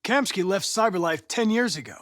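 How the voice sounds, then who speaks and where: A man's voice: sits high, lively intonation, brisk, a bit bright, dry recording, clean studio sound. A man playing a polite, determined young android police investigator in a video game, with formal, precise, controlled diction. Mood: earnest, formal.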